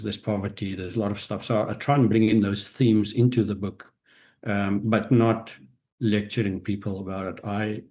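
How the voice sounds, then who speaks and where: Low, flat-voiced South African man. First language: Afrikaans. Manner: reflective, frequent restarts and hesitations. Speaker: guest, author and business strategist. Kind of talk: interview answers.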